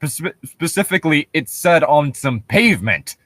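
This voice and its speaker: Sarcastic, swearing man with lively pitch. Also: moderate pace, background noise. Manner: profane, sarcastic, ranting. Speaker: podcast co-host. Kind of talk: casual comedic commentary on read-aloud horror stories.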